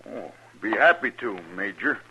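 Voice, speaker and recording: A man's voice: lowish voice, lively intonation, moderate pace, loud music behind the voice. A man acting a mild, humble Old West townsman in a 1950s radio western, folksy and hesitant. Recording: muffled old radio recording.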